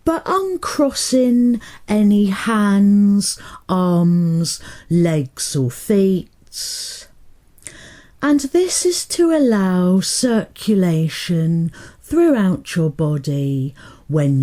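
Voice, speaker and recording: A woman hypnotherapist guiding a meditation in a soothing, deliberate manner, pausing between short phrases. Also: on the low side, lively intonation, warm tone. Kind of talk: guided meditation hypnotherapy narration.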